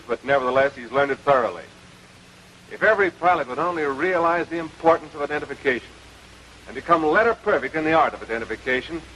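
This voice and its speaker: An American actor playing a stern, exacting major with a brisk, authoritative manner in a 1940s military training film. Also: male voice, mid-range voice, fairly bright tone, close to an everyday voice. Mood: measured, instructive. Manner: brisk, authoritative, clipped questioning. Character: stern, exacting army air force major instructing pilots. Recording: noisy old 1940s film soundtrack.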